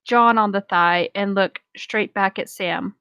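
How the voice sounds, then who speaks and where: A woman's voice: mid-range voice, steady tone, measured pace, pushed and bright, smooth, no echo. A woman chatting casually and reading a story aloud, in an informal, rambling way.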